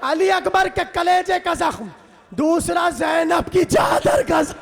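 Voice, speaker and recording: Shouting man, very high-pitched mourning orator. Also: expressive, creaky. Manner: wailing, dramatic lamenting delivery with drawn-out cries and repeated phrases. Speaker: religious orator reciting Karbala martyrdom narrative to mourners. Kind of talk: impassioned Shia majlis mourning sermon. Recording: loud crowd of mourners behind the voice. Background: crowd of mourners.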